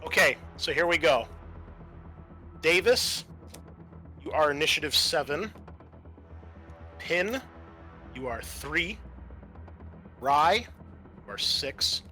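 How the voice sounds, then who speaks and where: Male game master, bright tone, offhand and foul-mouthed, directing tabletop play over music. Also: on the high side, expressive, slow pace, pauses often. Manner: casual, swears freely. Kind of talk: running a tabletop role-playing game.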